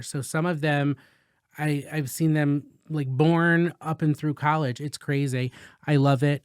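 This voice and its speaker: A man's voice: on the high side, clean tone, no echo, studio quality. A young man hosting a casual podcast, chatty and upbeat, rambling from one update to the next. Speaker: host.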